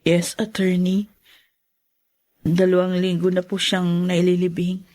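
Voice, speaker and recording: A woman's voice: fairly low pitch, completely flat delivery, a little slow, mellow, lightly acted. An actress playing a polite but determined grieving young woman in a radio drama. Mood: polite, earnest. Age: young adult.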